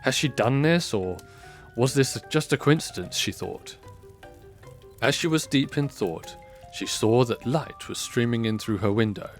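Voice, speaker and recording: A man narrating a scripted fantasy audio drama in a measured, expressive storybook style. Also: medium pitch. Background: music.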